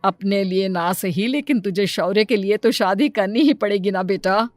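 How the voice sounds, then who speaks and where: A woman's voice: mid-range voice, normal speed, no echo, faint music behind, close to an everyday voice. A woman voicing an insistent, coaxing elderly mother in a cartoon story. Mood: insistent pleading.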